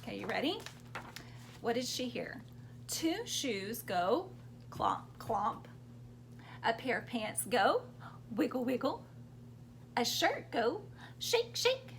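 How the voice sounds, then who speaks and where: A woman's voice: fairly high pitch, very animated, slow pace, vocal fry, long pauses, background noise. A woman reading a story aloud to young children expressively, prompting them to join in as she goes. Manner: interactive, prompting children with questions and sound words.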